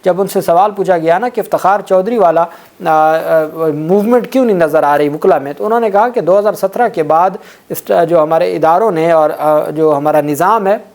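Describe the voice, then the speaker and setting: A man's voice: on the high side, moderate pace, darkish tone. A man with a Pakistani accent, speaking as a legal commentator and explaining court news.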